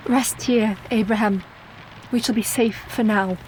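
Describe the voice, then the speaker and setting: A woman's voice: fairly high pitch, fairly even intonation, measured pace, darkish tone, lightly acted, poor audio. An actress playing a proper, resolute young wife facing vampires in a radio play, firm and formal in her speech. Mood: concerned. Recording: faint background noise.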